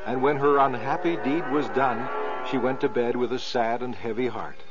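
A man's voice: medium pitch, normal speed. A man narrating a fairy tale for an old radio program. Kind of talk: scripted storybook narration. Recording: lo-fi old radio recording with music.

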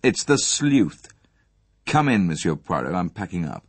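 Male reader as angry young man, sardonic, self-pitying, curt, low voice, animated intonation, storybook reading. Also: unhurried, breathy edge, no room sound, exaggerated voice. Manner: curt, sardonic, casual phrasing.

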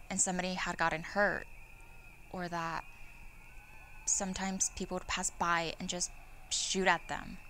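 An actress playing a woman who recalls a frightened childhood during a strike, halting and reflective. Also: on the low side, sharp tone, slight background noise. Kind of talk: dramatized oral history monologue.

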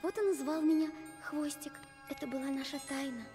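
Affectionate girlish goose for animated fairy tale, female actor; very high, coaxing voice with music behind. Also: a little fast, long reverb tail, lightly acted. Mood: fond. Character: plucky, affectionate young princess turned goose. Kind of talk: animated film acting.

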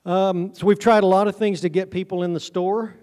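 A man's voice: high-pitched, fairly even intonation, brisk, darkish tone. A middle-aged man giving an informal, folksy, self-deprecating presentation to an audience. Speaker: conference speaker, honey farm business owner. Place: large auditorium stage, indoors. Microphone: handheld microphone through PA, recorded from audience camera. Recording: hall reverb from stage sound system.

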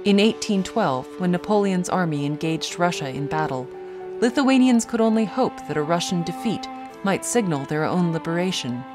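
A woman with a lowish voice reads a documentary script in a measured, earnest way. Music plays clearly beneath her. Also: expressive. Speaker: documentary narrator.

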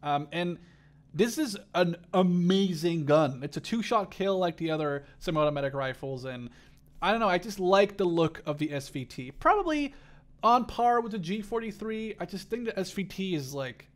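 A man in his twenties hosting a gaming video, giving casual, excitable commentary and swearing often. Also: sits high, normal speed. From Netherlands. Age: 20s. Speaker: gaming channel host. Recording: noisy game audio behind voice.

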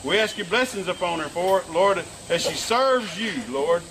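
A man's voice: high-pitched, animated, moderate pace, noisy background. A man leading an outdoor baptism and praying fervently over the people he baptizes. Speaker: preacher baptizing. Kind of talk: baptism prayer.

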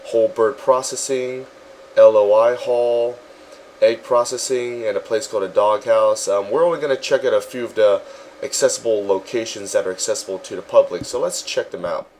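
A man narrating a casual walkthrough as a virtual world tour guide, relaxed and halting, with frequent ums. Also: medium pitch, a little hiss or hum.

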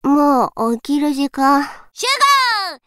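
A woman voicing an energetic, cheerful teenage tomboy schoolgirl drummer for a Japanese anime game, with boyish casual speech and punchy short phrases. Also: high voice, very slow, no room sound, studio quality, heavily acted. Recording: faint noise, game audio rip with clicks.

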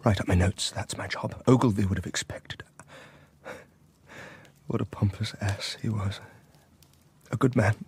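Agitated, creaky-voiced English husband. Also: male voice, on the low side, steady tone, a little slow, pauses often, a little mellow, exaggerated voice. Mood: brooding, bitter. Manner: stammering, urgent, broken phrases. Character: agitated, shaken, self-important English husband and writer. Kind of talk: radio drama acting.

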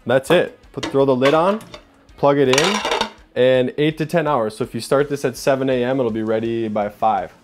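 Upbeat young man hosting a home cooking demo. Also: a little mellow. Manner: upbeat, chatty, says "boom" while working. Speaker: cooking show host. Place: home kitchen, indoors.

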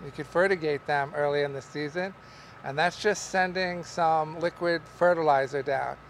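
Middle-aged farmer rambling in a greenhouse. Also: male voice, a little high, moderate pace, slight background noise. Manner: relaxed, rambling, with many fillers and restarts. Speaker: market farmer teaching growing. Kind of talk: casual on-camera farming lesson. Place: large greenhouse among tall tomato plants. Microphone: camera at a distance, wide shot.

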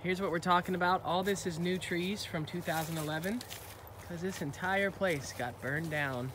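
A man's voice: high-pitched, normal speed. An American man in his thirties chatting and narrating casually as a playful, encouraging father on a family outing, recorded on a handheld phone. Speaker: father filming a family hike. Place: outdoors on a forest trail. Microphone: handheld phone camera. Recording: noisy outdoor phone recording.

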